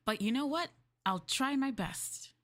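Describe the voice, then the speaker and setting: A woman streamer chatting casually in a maid roleplay, rambling and laughing softly as she addresses her master. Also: sits high, animated, a little slow. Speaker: VTuber streamer.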